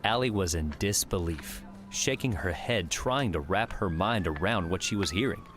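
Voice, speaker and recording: A man's voice: low-pitched, animated, moderate pace. A man reading scripted fantasy story narration as an audiobook narrator.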